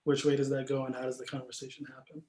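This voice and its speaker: Even-toned man in an echoey room. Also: mid-range voice, fast talker. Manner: questioning, informal, hedging. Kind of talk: casual panel discussion. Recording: echoey, reverberant room sound.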